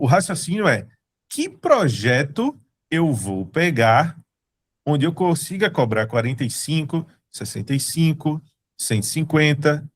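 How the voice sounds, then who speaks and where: A man with a Brazilian accent from Recife, presenting to an online meeting in a casual, emphatic way, repeating his points. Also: fairly high pitch. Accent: Brazilian Portuguese, from Recife. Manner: emphatic, repeats points, talks straight to the audience. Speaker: studio co-founder presenting.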